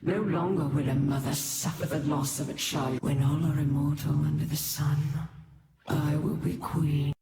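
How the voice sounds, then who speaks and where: A man's voice: a little high, fairly even intonation, moderate pace, creaky. A man voicing a grandiose would-be immortal monarch in a video game, resolute, messianic and declamatory. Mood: fervent resolve. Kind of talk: scripted game voice acting. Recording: echoing, loud crowd-like noise behind.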